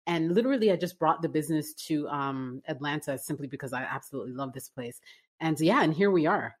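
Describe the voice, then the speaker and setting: A woman from Canada in her forties, a business-owner guest telling her story in a relaxed interview, with lots of fillers and restarts. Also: low-pitched, fairly quick, breathy edge. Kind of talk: casual interview conversation.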